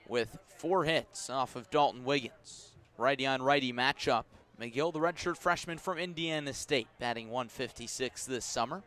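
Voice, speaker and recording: An American man doing live radio play-by-play of a baseball game, measured in his narration and raising his voice for big plays. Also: medium pitch, a bit bright. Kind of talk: live sports commentary.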